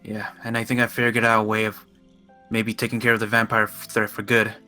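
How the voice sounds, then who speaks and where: Man playing a bright-voiced, wary fairy mage. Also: on the low side, monotone, a little fast. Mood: thoughtful. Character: wary male fairy-allied good mage, dry, dutiful. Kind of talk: improvised Minecraft fantasy roleplay. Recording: voice over background music.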